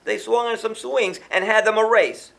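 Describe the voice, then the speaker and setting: A man's voice: high-pitched, steady tone, normal speed, gravelly, a bit bright. A man reading a rhyming children's storybook aloud in a theatrical, sing-song rhythm.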